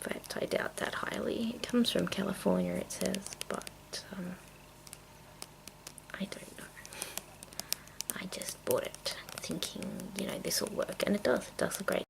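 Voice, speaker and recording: A woman chatting casually in a soft, mostly whispered ASMR style, rambling along, with tapping and clicking from small containers. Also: mid-range voice, measured pace, vocal fry, poor audio. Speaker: video creator and host. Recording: noticeable noise, clicking sounds. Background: tapping and clicking of makeup containers.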